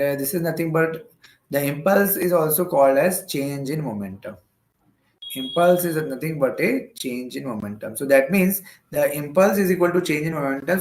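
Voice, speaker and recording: A man with an Indian accent teaching step by step as a lecturer, often repeating phrases and checking in with "okay". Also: a little high. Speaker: physics teacher. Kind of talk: explanatory exam-prep lecture working through problems step by step. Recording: roomy echo.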